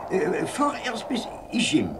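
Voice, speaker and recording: An actor playing a courteous gentleman traveller in a radio play, speaking politely. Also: high voice, huge pitch swings, slow, noisy background, heavily acted. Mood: polite, animated. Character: courteous foreign traveller, colleague of a Briton. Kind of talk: German radio play acting.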